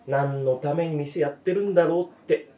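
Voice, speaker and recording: A man's voice: a little high, moderate pace, dark tone, lightly acted. A man in his thirties playing a weary, self-doubting cafe owner in a radio drama, speaking in a hesitant, reflective, understated way. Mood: troubled, uncertain. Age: 30s. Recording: roomy, echoing voice recording.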